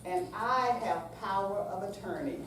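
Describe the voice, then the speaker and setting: A woman playing a patient, coaxing grown daughter in a staged reading, with deliberate, read-out delivery. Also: mid-range voice, lively intonation, close to an everyday voice. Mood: firm, pleading. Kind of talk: staged readers theater reading. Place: senior center room, staged live reading. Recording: very echoey hall, distant mic, faint noise.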